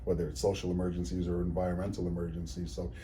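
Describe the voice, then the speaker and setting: A man in his forties talking in a relaxed interview, easygoing and earnest, often repeating and restarting words. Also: low voice, very fast, a little hiss or hum. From New Zealand, raised in Ontario, Canada. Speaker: guest, charity executive. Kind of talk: casual interview conversation.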